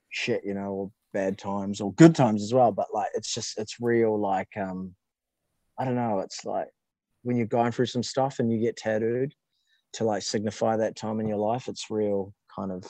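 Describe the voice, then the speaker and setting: A man, a podcast guest chatting in a relaxed way and often ending sentences with "eh?". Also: on the low side, dry recording. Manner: relaxed and easygoing, ends sentences with "eh?". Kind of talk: casual chat.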